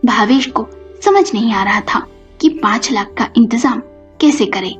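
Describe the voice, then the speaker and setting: A woman with a fairly high voice narrates a scripted story. The recording is slightly dull, with music playing behind her. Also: lively intonation, measured pace.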